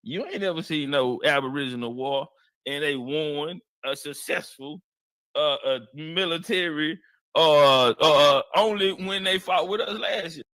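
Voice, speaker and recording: A man's voice: on the high side, a little slow, fairly bright tone, no room sound. A man ranting casually and bluntly, repeating himself and stalling with "uh". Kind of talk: casual rant.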